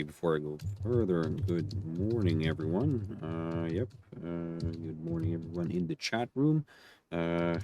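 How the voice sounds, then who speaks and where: Male market analyst, deep voice thickened by a cold, off-the-cuff stream commentary with repeated phrases, dry sound. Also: no room sound. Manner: halting, repeats words and phrases. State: voice deepened by a cold. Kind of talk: unscripted live technical market commentary.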